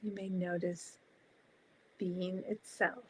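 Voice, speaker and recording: A woman guiding a meditation in a calm, soft, spacious manner, leaving long pauses between short phrases. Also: lowish voice, slight background noise, rough recording. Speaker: meditation guide.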